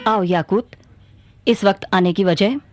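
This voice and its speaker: A woman's voice: medium pitch, measured pace, a little mellow, faint music behind, close to an everyday voice. A woman acting a resolute, imperious young sultana in a radio play, speaking formally. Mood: commanding.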